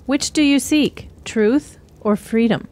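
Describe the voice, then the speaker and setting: A woman playing a cryptic, sorrowful wise woman who counsels youths in measured riddles in a fantasy radio drama. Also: high voice, a little mellow. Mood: probing, cryptic. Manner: measured, riddling, archaic storyteller phrasing.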